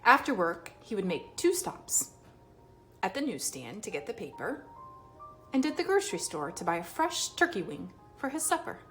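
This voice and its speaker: A woman reading a children's picture book aloud expressively, with dramatic emphasis and pauses. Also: medium pitch, big pitch swings, a bit bright, faint music behind. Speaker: read-aloud storyteller.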